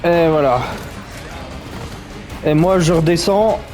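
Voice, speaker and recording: A man's voice: on the high side, slow, a little mellow, faint music behind. A man commenting on a game as he plays, in a chatty, joking, reactive way. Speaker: gaming streamer. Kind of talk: let's play commentary.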